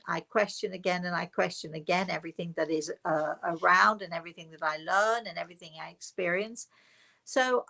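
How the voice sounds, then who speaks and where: Creaky-voiced woman telling a personal story. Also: mid-range voice, bright, forceful tone. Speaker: spiritual medium telling her story. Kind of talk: informal personal storytelling to an online audience.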